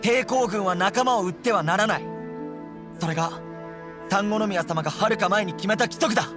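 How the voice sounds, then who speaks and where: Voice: medium pitch, normal speed, natural voice. A performer playing an earnest, loyal and fiery young male resistance general in an anime game, speaking resolutely. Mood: firm, protective. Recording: prominent music under the voice.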